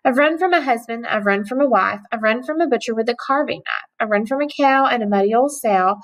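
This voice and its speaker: Woman voicing a cheeky high-pitched little gingerbread man. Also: a little fast, natural voice, low-quality recording. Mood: boastful. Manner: taunting sing-song chanting.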